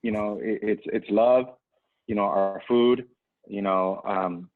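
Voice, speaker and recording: A man speaking as a panelist on a video call, earnest and halting, with many restarts and "you know"s. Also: on the low side, steady tone. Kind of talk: casual panel conversation. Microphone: video call mic. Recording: tinny phone-like audio.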